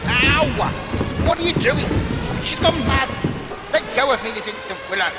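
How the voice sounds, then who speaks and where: Actor as stern male leader, very high voice for a man, swooping intonation, commanding, audio drama. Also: lively intonation, normal speed, over loud music. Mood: indignant. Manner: commanding, indignant. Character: stern, self-preserving commanding man, Emmett.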